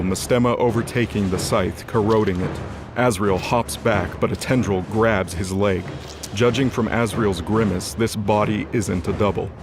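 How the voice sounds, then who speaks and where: A man narrating a scripted fantasy audio drama in a dramatic storytelling style. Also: on the low side. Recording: loud music under the voice.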